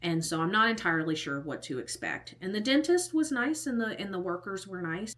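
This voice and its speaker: A woman's voice: medium pitch, animated, a little fast, fairly bright tone. A woman in her thirties telling a personal story in a casual, rambling way, full of "like" and the odd whispered aside. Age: 30s. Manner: chatty and rambling, heavy use of "like", with whispered asides. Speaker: storytime vlogger.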